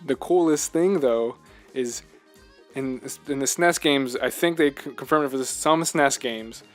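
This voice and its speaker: A man co-hosting a casual video game discussion, talking in an animated, rambling way and repeating himself for emphasis. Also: mid-range voice, a little slow, soft background music. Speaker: podcast co-host.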